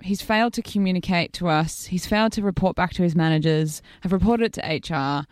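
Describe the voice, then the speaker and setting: An Australian woman co-hosting a studio radio show, her voice a bit croaky, cheeky and full of lively stories. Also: lowish voice, brisk, no room sound. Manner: cheeky, lively storyteller, laughs easily. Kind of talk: casual studio banter. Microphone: studio microphone.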